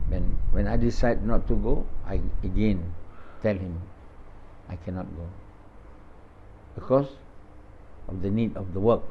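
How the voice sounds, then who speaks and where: Older man, Malaysian accent, native Malay speaker, halting English talk with repeated words, low voice, dull lo-fi phone recording. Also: slight background noise. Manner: halting, repeats words, opens with Arabic recitation. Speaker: religious speaker. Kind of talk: talk after dawn prayer. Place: small indoor room.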